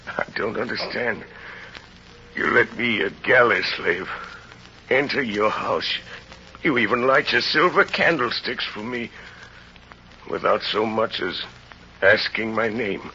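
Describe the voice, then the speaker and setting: An actor in an old radio drama playing a desperate, bitter, hungry released convict who speaks in intense, halting, repeated phrases. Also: male voice, on the low side, lively intonation, sharp tone, exaggerated voice. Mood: humbled, bewildered. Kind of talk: 1930s-40s radio drama acting. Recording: dull, noisy old radio recording.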